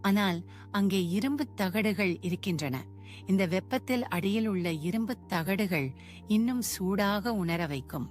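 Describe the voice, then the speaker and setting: A woman's voice: mid-range voice, lively intonation, unhurried, close to an everyday voice. A woman voicing an anxious poor village mother who frets and laments. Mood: distressed. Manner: fretful, lamenting. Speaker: voice actor. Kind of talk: dubbed cartoon acting. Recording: music bed behind.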